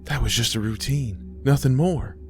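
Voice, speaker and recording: A man's voice: medium pitch, moderate pace, faint music behind, pitched above the performer's own voice, natural voice. A man voicing a wary, questioning woman in a horror story, terse and earnest. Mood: defensive.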